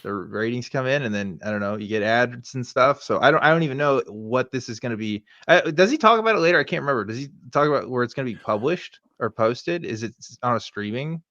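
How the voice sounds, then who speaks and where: A man co-hosting a casual reaction show, speaking at an average pitch. His delivery is informal and hedging, full of "like" and "right?". The sound is dry and somewhat dull. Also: animated, fast, few pauses, a bit bright, no room sound. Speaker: show co-host. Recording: dull, band-limited dry audio.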